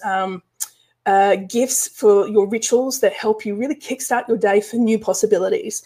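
A woman's voice: mid-range voice, darkish tone. A woman spiritual business coach talking casually to her online group in a live stream, with lots of restarts and fillers. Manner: chatty, frequent restarts and fillers.

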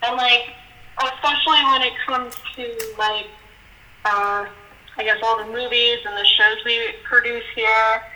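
Woman from the US, fairly high voice, very bright tone, agreeable and measured. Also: fairly even intonation, poor audio. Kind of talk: casual conversation.

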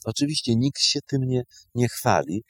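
A man comments on history off the cuff, often pausing to search for the next word. His voice sits in a middle male register and is recorded very dry, with no room around it. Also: mid-range voice, animated, slightly rough, no room sound. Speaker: historian guest.

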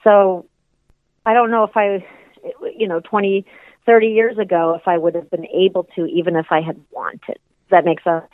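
A woman's voice: lowish voice, flat delivery, normal speed, no room sound. A woman musician being interviewed, speaking reflectively and hesitantly with many restarts. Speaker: musician guest. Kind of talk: casual interview chat. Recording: tinny phone line.

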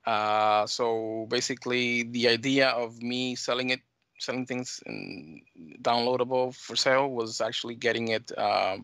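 A middle-aged man with a mixed Dominican and American accent, answering interview questions in halting, conversational phrases. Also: mid-range voice, fairly even intonation, fairly bright tone, clear, resonant tone, dry recording. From United States and Dominican Republic. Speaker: talk show guest, flight sim scenery developer.

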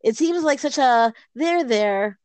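A woman's voice: high voice, lively intonation, slow, darkish tone, no room sound. A woman in casual Talmud study, answering her partner with playful challenges. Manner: playful challenging back-and-forth.

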